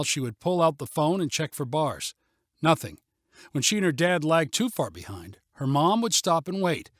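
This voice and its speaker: A man's voice: on the high side, fairly bright tone, no echo, studio quality. A man narrating a story in a scripted audiobook style.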